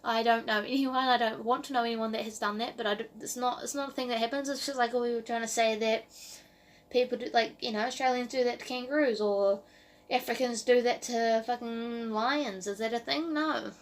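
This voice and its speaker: A woman's voice: on the high side, fairly even intonation, a little fast. A woman in her early twenties with a New Zealand accent, talking casually to camera as a vlogger in a rambling way full of "like" and "um". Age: early 20s.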